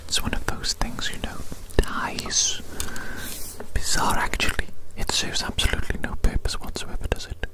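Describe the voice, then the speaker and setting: A person whispering softly in an ASMR roleplay, gently reassuring and slowly repeating phrases. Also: poor audio. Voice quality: soft unvoiced whisper. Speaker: ASMR roleplayer measuring the listener.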